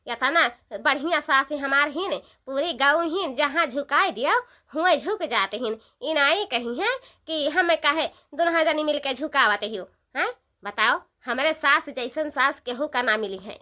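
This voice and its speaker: Woman acting village sister-in-law, cartoon comedy, Awadhi accent, high-pitched, bright, bossy, emphatic, repetitive. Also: full character voice. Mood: sarcastic grumbling.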